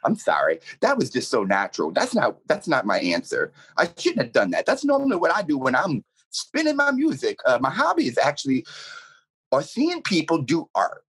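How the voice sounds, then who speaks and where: A man playing an eccentric, earnest werewolf radio DJ being interviewed on a comedy show, husky, theatrical and rambling. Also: a little high, huge pitch swings, normal speed, a bit bright, exaggerated voice. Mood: eager, theatrical. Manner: theatrical, rambling, swooping emphasis.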